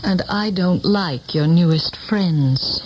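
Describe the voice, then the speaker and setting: An actress playing an earnest, determined woman in a 1970s radio drama, speaking with deliberate emotional intensity. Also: lowish voice, lo-fi sound. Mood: wistful. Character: earnest, pleading woman, determined old flame of a politician. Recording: noisy 1970s radio broadcast tape.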